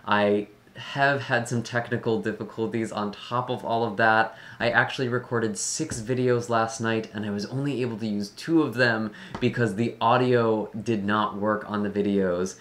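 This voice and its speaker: Casual tarot reader and online host, medium-pitched voice, a little scratchy and tired. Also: fairly even intonation, moderate pace, few pauses, a bit bright. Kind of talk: casual talk introducing a general tarot reading.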